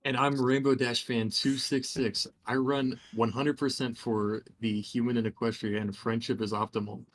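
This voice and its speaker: A man voicing an awkward male pony-fan commentator who introduces himself plainly and a bit slowly. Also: mid-range voice, flat delivery, close to an everyday voice. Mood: deadpan.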